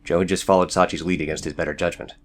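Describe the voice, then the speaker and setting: A man's voice: sits low, level tone, racing, a little hiss or hum. A man reading scripted fiction as the narrator of an audiobook.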